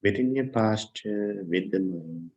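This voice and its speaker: A man with a fairly low voice and a strong creak guides a meditation. He speaks gently in short, unhurried phrases, leaving long pauses between them. The recording sounds slightly dull and muffled. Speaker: Buddhist monk, meditation teacher.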